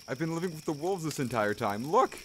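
Man as feral boy scout, earnest, eager, audio drama, raised pitch, faint noise behind. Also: expressive, brisk, a bit bright. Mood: overjoyed.

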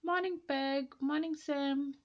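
A woman's voice: sits high, fairly even intonation, slow, muffled recording, a little hiss or hum, pitched above the performer's own voice. A woman voicing simple storybook villagers in short, emphatic phrases. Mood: cheerful, grateful. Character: cheerful, simple villagers greeting and answering a dragon.

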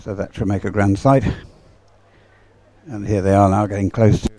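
A man commentating live on a race as a sports commentator, unhurried and halting, in short phrases. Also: on the low side, steady tone, darkish tone, dry recording.

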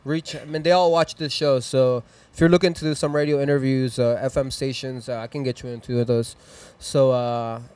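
Upbeat Mexican-accented studio host. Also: male voice, medium pitch, steady tone, fairly quick, hardly any pauses, a little mellow, clean tone. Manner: rambling, upbeat, plugs sponsors and socials. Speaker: host of a live music stream. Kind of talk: casual chat and shout-outs. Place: small decorated studio room, indoors. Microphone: handheld mic.

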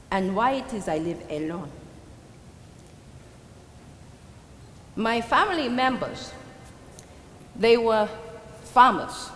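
A woman's voice: medium pitch, fairly bright tone. A woman performing a theatrical monologue as an old, proud and sorrowful Dominican immigrant widow with a Dominican Spanish accent, confiding her story hesitantly with emphatic swells. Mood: wistful, confiding. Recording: roomy reverberant hall with background noise.

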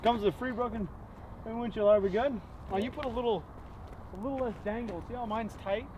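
A young man from Texas with a Texan accent, hosting a casual fishing vlog outdoors, excitable and jokey with stumbling restarts. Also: high-pitched, fairly quick, a little hiss or hum. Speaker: fishing channel host. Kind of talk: casual vlog talk to camera. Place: outdoors on a rural gravel lot.

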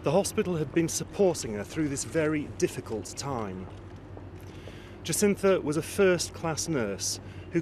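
Middle-aged British man reading formal statement outdoors. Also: mid-range voice. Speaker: hospital chief executive, spokesman. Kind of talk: formal prepared statement read to press. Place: outdoors on a city street before press. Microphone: cluster of press microphones. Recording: clear outdoor street noise.

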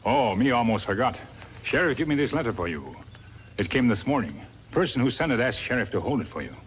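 An actor playing a stoic, loyal western sidekick in a 1950s radio drama, in clipped, stilted broken English. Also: male voice, medium pitch, very animated, brisk, natural voice. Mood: stoic, attentive. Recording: noisy vintage 1950s radio recording.

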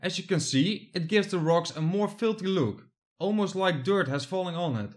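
Young guy from the netherlands talking thru his diy build, kinda high voice. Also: lively intonation, moderate pace. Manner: plain, step-by-step explaining. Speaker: hobby builder narrating his project. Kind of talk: scripted voice-over narration.

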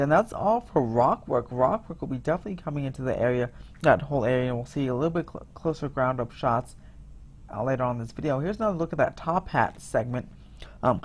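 A man giving a casual voice-over about construction photos, rambling with many restarts and fillers, sounding excited. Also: medium pitch. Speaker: theme park vlogger.

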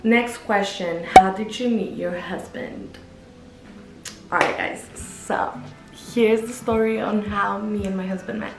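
A woman's voice: mid-range voice, steady tone, slow, vocal fry. Brief: young woman vlogger, chatty and upbeat, recorded in an echoey bedroom with faint background music. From Guatemala. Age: 20s. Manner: chatty, upbeat, lots of "like" and "you know". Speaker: YouTuber. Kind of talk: casual Q&A vlog. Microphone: camera at medium distance.

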